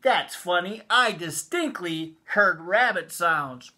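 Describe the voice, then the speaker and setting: A stubborn, contrary storybook cloud-watcher, played by a man with an American accent. The delivery is bright and emphatic, bickering playfully with big pitch swings. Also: lively intonation, slow pace, full character voice. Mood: insistent.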